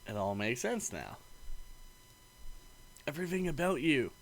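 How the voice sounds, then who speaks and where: A man reading a visual novel aloud in an expressive, playful narrating style. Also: a little high, measured pace, low-quality recording.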